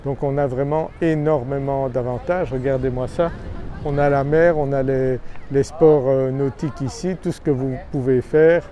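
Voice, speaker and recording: A man's voice: mid-range voice, fairly even intonation, moderate pace, mellow. A man from Brussels, talking to camera outdoors in a seaside town as a property finder, hesitant with many filler sounds and friendly in his persuading. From Brussels, Belgium. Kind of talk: casual vlog pitch to camera.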